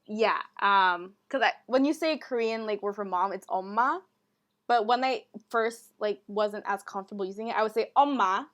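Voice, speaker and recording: A young woman co-hosting a podcast, telling stories in a relaxed, casual way and often saying "like". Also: mid-range voice, brisk. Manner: relaxed storytelling, heavy use of "like". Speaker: podcast co-host. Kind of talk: casual chat.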